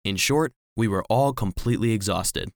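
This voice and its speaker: A man's voice: on the low side, normal speed, dry recording, studio quality, natural voice. A man playing a small, devoted household cleaning robot, narrating a children's audio drama earnestly. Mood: earnest, puzzled. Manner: earnest storytelling.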